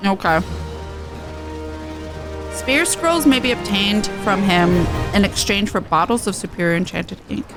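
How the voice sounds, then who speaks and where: A woman's voice: medium pitch, measured pace, pauses often, music in the background. A woman game streamer chatting casually and reading quest text aloud.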